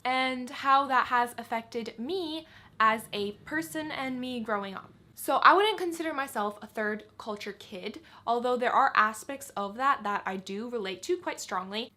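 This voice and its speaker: Young woman w/ american accent, kinda high voice, chatting thoughtfully to camera. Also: pushed and bright. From Finland. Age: young adult. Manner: thoughtful, searching, asks rhetorical questions. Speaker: vlogger. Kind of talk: casual reflective talk to camera.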